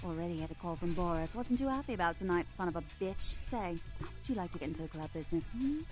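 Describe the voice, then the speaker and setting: A woman's voice: on the low side, animated, quick pace, a little mellow, soft background music, lightly acted. A woman voicing a brash, shrewd nightclub owner in a video game, with a blunt, sardonic, foul-mouthed manner. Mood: wry, sly.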